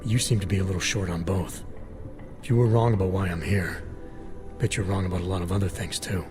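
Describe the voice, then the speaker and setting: An actor playing a gruff, stoic video game agent, terse and deadpan with a low, gravelly voice. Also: male voice, a little fast, close to an everyday voice. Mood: wry, firm. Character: gruff, stoic augmented male agent, calm and wry. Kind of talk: cinematic video game acting. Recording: dramatic music behind the voice.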